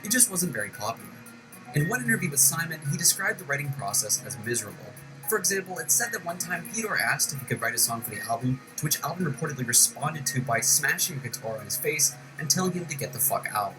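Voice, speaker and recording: A man's voice: fairly high pitch, big pitch swings, fast talker, low-quality recording. A man delivering scripted, documentary-style narration for a video essay. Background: music.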